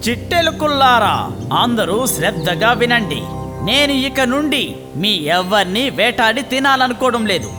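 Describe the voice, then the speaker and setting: A man's voice: extremely high pitch, big pitch swings, measured pace, heavily acted. A man voicing a sly cartoon cat who poses as a holy sage, grandly reassuring and falsely saintly. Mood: pious, solemn. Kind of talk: children's cartoon dialogue. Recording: long reverb, clear music behind.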